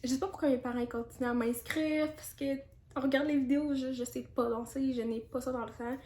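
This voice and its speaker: A young Quebec woman chats through a casual Q&A vlog in a slightly high voice, candid and loose, often pausing on fillers. Her voice rings in an echoey room with a faint hiss behind it. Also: fairly even intonation, a little mellow. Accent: Quebec French. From Canada. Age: 20s. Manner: chatty and candid, with frequent fillers and asides. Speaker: YouTuber.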